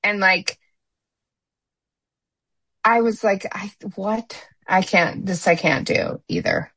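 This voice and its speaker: A woman's voice: mid-range voice, wildly swooping pitch, slow pace, gravelly, a bit bright, husky, no echo. A middle-aged woman speaking bluntly and emphatically in a candid podcast chat, often restarting her sentences.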